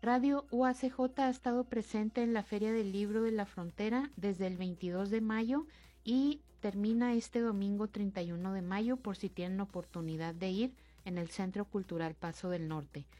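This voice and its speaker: A woman presenting an upcoming interview on the radio with a clear, even delivery. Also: medium pitch, normal speed, faint music behind. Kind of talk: scripted announcement.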